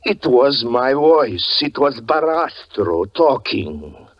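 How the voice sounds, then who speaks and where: A man's voice: mid-range voice, sing-song, slow pace. An actor playing a tormented, jealous married stage magician, narrating his downfall in theatrical 1940s radio style. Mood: horrified. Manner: brooding, theatrical, melodramatic. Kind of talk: 1940s radio acting, dramatic first-person narration. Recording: muffled 1940s radio recording with music.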